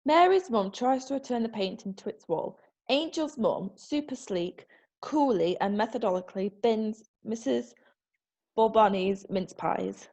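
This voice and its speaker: Brief: a woman reading stage directions, matter-of-fact, a bit high-pitched. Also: lively intonation, a little slow, darkish tone.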